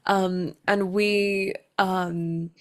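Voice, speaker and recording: A woman's voice: medium pitch, little pitch movement, drawn-out words. A young adult woman chatting casually as an actor being interviewed, giggly and self-deprecating.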